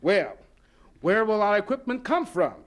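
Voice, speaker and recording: Eager, devout male professor for radio drama: high voice, swooping intonation, encouraging and chuckly. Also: big pitch swings, measured pace, fairly bright tone, exaggerated voice. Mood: eager, persuasive. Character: warm, eager, devout male college professor urging his class on.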